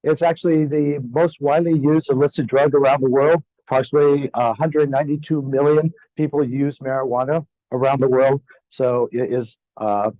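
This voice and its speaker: An older man giving a conference lecture with a sore, scratchy throat, halting with many fillers and clearing his throat. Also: medium pitch, mellow, dry recording. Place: lecture hall.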